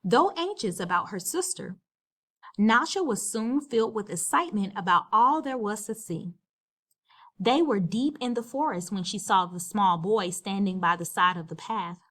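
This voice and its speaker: A woman narrating a children's storybook as a read-aloud, measured and expressive. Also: mid-range voice. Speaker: storybook reader.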